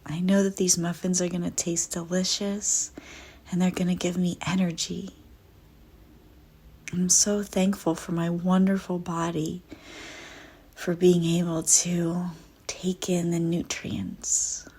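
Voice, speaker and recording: A woman narrating a scripted guided visualization in a soft, soothing, deliberate way with frequent pauses. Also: on the low side, flat delivery, breathy edge.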